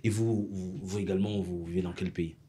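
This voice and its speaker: A man's voice: low-pitched, steady tone, fairly quick, mellow, slight background noise. A male talk show host, interviewing guests formally and often repeating himself while searching for words.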